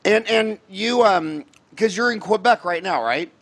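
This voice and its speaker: A man's voice: high-pitched, expressive, a little slow, fairly bright tone, husky. A middle-aged American man hosting a casual interview in a folksy, rambling way, often restarting his questions. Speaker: podcast host, dentist.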